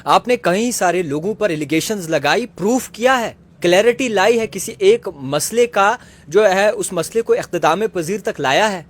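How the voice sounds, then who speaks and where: A male interviewer with a somewhat high voice. He questions persistently, challenging the person on the other end of a phone interview. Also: expressive, a little slow, few pauses.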